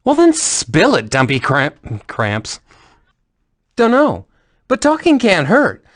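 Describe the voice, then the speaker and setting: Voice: medium pitch, huge pitch swings, takes it slowly, dry recording, clean studio sound. A voice actor in game recording outtakes, speaking in a playful, sassy, teasing way.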